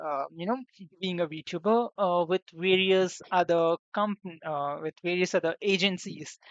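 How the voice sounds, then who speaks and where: A young woman streaming on her debut as a VTuber, chatting casually and rambling with lots of fillers and restarts, her voice slightly hoarse. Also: medium pitch, a bit bright, no echo. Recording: dull lo-fi sound, dry room.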